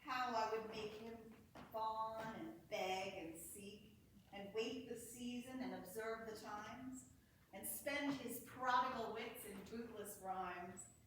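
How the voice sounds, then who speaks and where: A woman with a high voice delivers Shakespearean lines in a staged reading. Her pace is unhurried, in the manner of an amateur stage performance. The room rings heavily with echo around her. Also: fairly bright tone. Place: indoor performance room, recorded from afar. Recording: echoey room, noisy, distant microphone.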